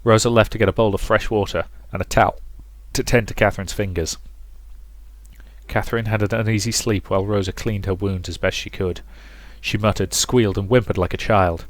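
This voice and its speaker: A man's voice: lowish voice, steady tone, fairly quick, no echo. A man reading a visual novel aloud as dramatic storybook narration for a let's play.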